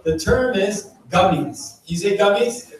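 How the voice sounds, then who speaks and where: A startup founder speaks to a live crowd, chatty and engaging, drawing the audience in with questions. His voice sits high for a man. The sound is very echoey and he is heard from a distance. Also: slow. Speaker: startup founder giving a talk. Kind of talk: conference talk to a live audience. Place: event venue.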